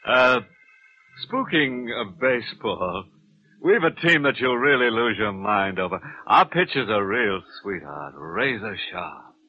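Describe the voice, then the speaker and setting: An actor playing a ghoulish, mock-cordial horror host with macabre relish on an old radio show. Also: male voice, lowish voice. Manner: slow, drawn-out, chuckling, swooping. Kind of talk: 1940s radio horror hosting. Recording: tinny, noisy old radio recording.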